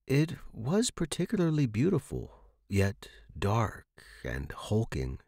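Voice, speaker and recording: A man's voice: lowish voice, darkish tone, no room sound, clean studio sound. A man reading a story aloud as a scripted, dramatic fiction narration. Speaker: audiobook narrator.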